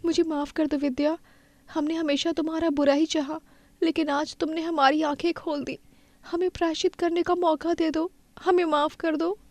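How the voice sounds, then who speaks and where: A woman's voice: high voice, level tone, moderate pace, dry recording, pitched above the performer's own voice. A woman voicing a young village daughter, eager to follow her mother, in a cartoon story. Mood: remorseful.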